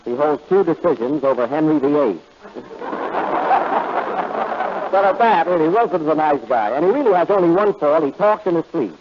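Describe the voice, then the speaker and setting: A man's voice: on the high side, animated, normal speed, long pauses, heavy background noise. A man hosting a 1930s radio comedy show with a dry, wisecracking delivery. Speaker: comedian host. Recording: dull lo-fi old radio recording.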